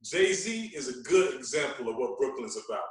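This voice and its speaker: A man telling stories in an animated, casual way, using street slang and repeating himself for emphasis. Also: mid-range voice, normal speed, a crowd in the background. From Queens, New York. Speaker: podcast guest, radio personality. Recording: very echoey room, distant from mic.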